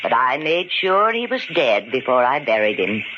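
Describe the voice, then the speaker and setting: A woman playing a cold, vengeful, scheming murderess in an old-time radio mystery, speaking with theatrical, deliberate menace. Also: a bass voice, very animated. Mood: cold, gloating. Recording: muffled, noisy old radio recording.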